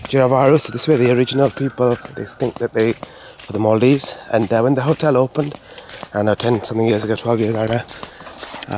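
A man's voice: medium pitch, a little mellow. A man from Sri Lanka giving casual, chatty walking-tour commentary outdoors into a handheld camera, often repeating himself. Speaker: vlogger. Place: outdoors on resort garden paths. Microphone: handheld camera microphone, speaker behind camera. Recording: outdoor handheld camera, clear background noise.

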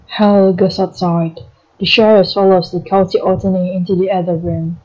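A woman narrates a play script in a mellow, slightly low voice. The recording is a little dull. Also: little pitch movement, moderate pace. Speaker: narrator reading stage directions. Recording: dull, muffled audio.

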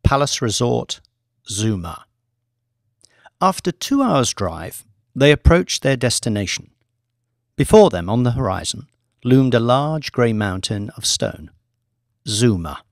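A man reading a scripted audiobook story in a measured, deliberate storytelling manner. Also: medium pitch, sing-song, no echo, clean studio sound. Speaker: audiobook narrator, author reading own book.

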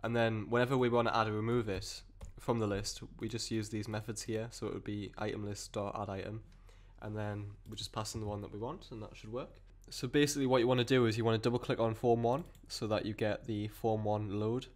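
Male coding tutor, lowish croaky voice from a cold, casual rambling delivery, desk mic. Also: flat delivery, brisk, few pauses. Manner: rambling, self-correcting explanations with many fillers. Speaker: programming tutor. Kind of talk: screen-recorded coding tutorial.

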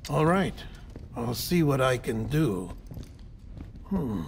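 Slow, creaky, courteous attendant. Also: male voice, medium pitch, big pitch swings, slight background noise, heavily acted. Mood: obliging, thoughtful. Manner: formal, unhurried, courteous. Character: polite, measured male attendant. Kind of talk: cinematic video game acting.

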